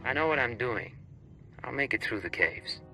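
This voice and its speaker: An actor playing a cold, ruthless undercover agent in a video game, curt and commanding. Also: male voice, mid-range voice, expressive, normal speed, bright, forceful tone, faint music behind, poor audio. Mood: confident, gruff.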